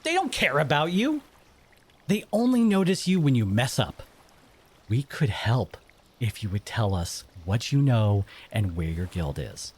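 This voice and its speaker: Bitter merfolk exile played by a man, stern and accusing interrogator, very expressive pitch, slightly husky, audio drama. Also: a little slow. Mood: stern, menacing.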